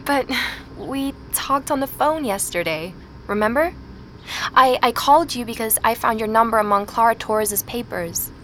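An actress playing an earnest, rattled young woman investigator in a naturalistic audio drama, speaking haltingly as she records her search. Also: on the high side, fairly bright tone, natural voice. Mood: flustered, insistent. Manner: hesitant, halting, with repeated words. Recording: street and vehicle noise behind.

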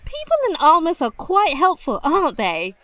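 A woman playing a naive, kind-hearted young elf adventurer in a fantasy audio drama, sweet, gentle and earnest. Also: sits high, wildly swooping pitch, normal speed, no echo, heavily acted. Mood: sweet, sympathetic.

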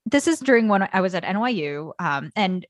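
A woman co-hosting a casual talk, telling personal stories in an animated, self-deprecating way and peppering her speech with "like". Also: mid-range voice, a little fast, dry recording, studio quality. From Guatemala. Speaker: co-host. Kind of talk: casual personal storytelling in banter.

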